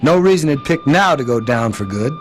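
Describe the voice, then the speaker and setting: Folksy, animated American wasteland trader played by an actor. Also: male voice, a little high, a little fast, faint music behind, natural voice. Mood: proud, easygoing. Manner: folksy, drawling, hearty. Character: friendly folksy wasteland trader, protective adoptive father. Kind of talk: video game dialogue.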